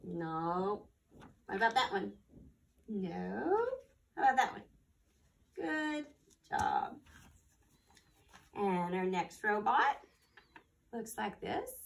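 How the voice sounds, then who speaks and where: A woman's voice: medium pitch, sing-song, very slow, vocal fry, pauses often, recorded from a distance. A woman hosting a storytime for young children, reading aloud and talking to them in simple phrases and questions. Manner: simple instructions and questions addressed to small children. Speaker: children's library storytime host.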